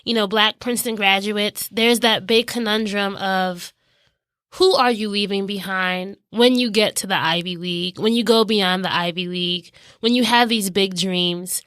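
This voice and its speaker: A woman's voice: mid-range voice, steady tone, normal speed, a bit bright, clear, resonant tone, no room sound, studio quality. A young woman hosting a podcast interview, chatting conversationally and asking reflective questions. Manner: conversational, laughs easily, asks reflective questions. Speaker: podcast host.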